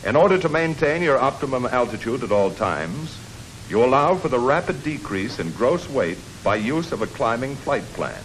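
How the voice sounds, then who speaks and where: A man's voice: mid-range voice, big pitch swings, normal speed. An American man reading scripted narration for a 1950s military training film. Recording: noisy 1950s film soundtrack.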